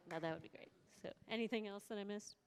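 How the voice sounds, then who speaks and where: A woman at a community forum sharing her table's ideas in a loud, friendly voice, laughing between points. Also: medium pitch, slight background noise. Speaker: forum attendee. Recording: long reverb of a hall.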